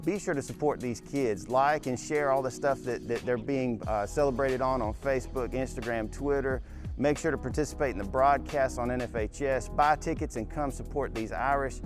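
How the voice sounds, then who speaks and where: Man, podcast host, casual sports chat, relaxed, music in background. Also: medium pitch, normal speed. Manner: relaxed, conversational, promotional.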